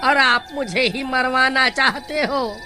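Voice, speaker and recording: A woman voicing a loyal, plaintive male farm servant in a Hindi cartoon, with a creaky voice. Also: sits high, slow, music in the background. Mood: hurt, protesting.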